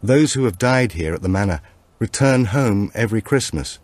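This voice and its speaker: Man playing quiet, hesitant widower, low-pitched. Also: expressive, moderate pace, no room sound, lightly acted. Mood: hesitant, curious. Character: subdued grieving husband, hesitant and polite.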